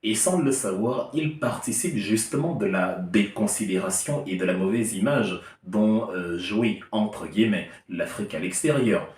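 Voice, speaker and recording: A man's voice: on the low side, normal speed, darkish tone. A man giving a heated political opinion monologue, emphatic and argumentative with an ironic edge. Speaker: political commentator. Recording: echoey room, distant mic.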